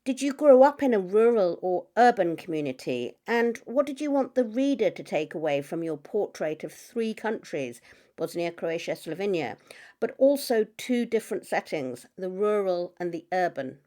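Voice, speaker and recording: A woman's voice: mid-range voice, big pitch swings, dark tone. Woman interviewer asking literary questions. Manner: measured, prepared questions.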